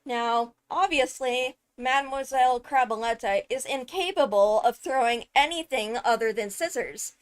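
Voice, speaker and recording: A woman giving casual, analytical commentary on a video, animated and emphatic on key words. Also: fairly high pitch. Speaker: video host, game lore commentator. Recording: background music behind the voice. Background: music.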